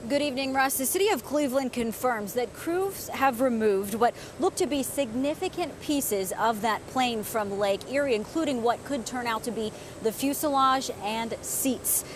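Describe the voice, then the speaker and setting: A woman's voice: on the high side, normal speed, few pauses, a little hiss or hum. A woman news reporter delivering a scripted live report.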